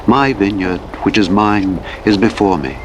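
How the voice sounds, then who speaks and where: A man narrating the Bible in a measured, solemn scripted reading. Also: lowish voice. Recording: clear vehicle and road noise throughout. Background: truck engine and road sounds from a driving game.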